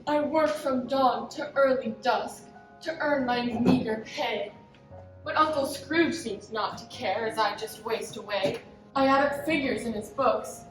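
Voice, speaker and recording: A woman's voice: a little high, unhurried, natural voice. A woman playing a downtrodden but hopeful young clerk, earnestly reciting rhyming pantomime verse. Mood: weary complaint. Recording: echoey, distant stage recording over music.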